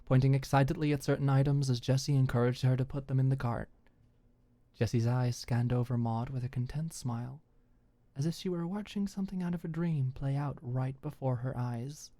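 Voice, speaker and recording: A woman's voice: a bass voice, warm tone. A woman reading scripted story narration in an ASMR audiobook style.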